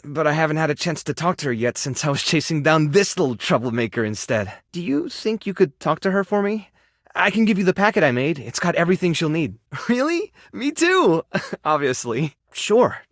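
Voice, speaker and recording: A man playing a kind, modest, overworked animal rescue keeper in a video game, speaking in a natural, soft-hearted and hesitant way. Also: on the high side, sing-song, normal speed, no room sound, studio quality. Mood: earnest, then pleased, warm. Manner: soft-hearted, self-effacing, hesitant with small sighs and chuckles. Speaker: voice actor in a dating game. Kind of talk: video game character dialogue, natural and conversational.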